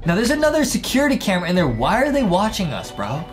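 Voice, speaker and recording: A young American man hosting casual, excited gameplay commentary, slangy and often repeating himself for young viewers. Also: high voice, fast talker. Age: young adult. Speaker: gaming show host. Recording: music behind the voice.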